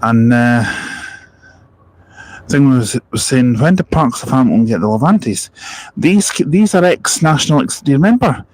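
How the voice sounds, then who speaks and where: A man's voice: mid-range voice, animated, normal speed, darkish tone. A young man chatting casually on a live stream at a bus park and ride, rambling between stories, spotting passing buses and reading out viewer comments.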